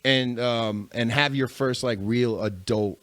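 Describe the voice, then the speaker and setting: Man, forties, podcast host, casual slangy banter, laughs a lot, middle pitch. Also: slow, a bit bright, no echo.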